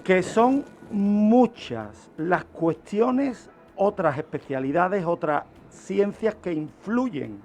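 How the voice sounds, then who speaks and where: Male, higher-pitched voice, criminologist on radio, didactic, self-answered questions, light background music. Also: animated, extremely slow, few pauses, a little mellow. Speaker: criminologist presenting a radio segment. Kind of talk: explanatory talk on a radio show.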